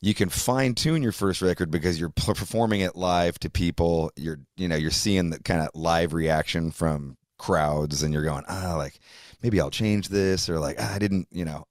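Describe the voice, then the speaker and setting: Brief: male podcast host, 50s, low voice, dry close mic, rambling casual delivery. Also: normal speed, no echo. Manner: loose, rambling, full of "like" and "you know". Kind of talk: casual interview chat.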